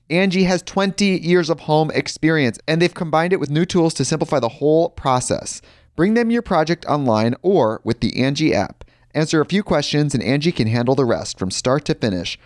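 A man's voice: mid-range voice, darkish tone, no room sound, studio quality. A man reading a sponsor ad in an upbeat, conversational way with personal asides. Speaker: sponsor ad reader. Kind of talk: host-read ad.

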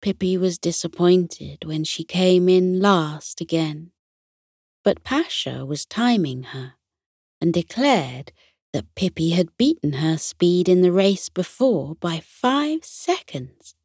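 Female narrator for children's bedtime tales, lowish pitch, very slow delivery, gentle and soothing. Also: animated, slightly rough, no echo, clean studio sound.

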